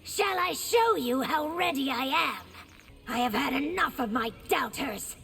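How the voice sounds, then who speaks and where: A fiery, combative young game princess. The voice is high with a gravelly rasp of creak, in long reverb over music. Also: female voice, lively intonation, measured pace, pushed and bright, exaggerated voice. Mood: defiant. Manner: proud, combative. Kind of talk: dramatic video game dialogue.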